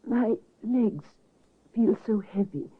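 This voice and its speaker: A frail, anxious female patient in a vintage radio drama. Her voice is breathy and faltering, fragile with grief, on a thin phone-like recording. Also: a little high, lively intonation, slow pace, full character voice. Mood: weak, anxious. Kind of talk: 1950s radio mystery acting.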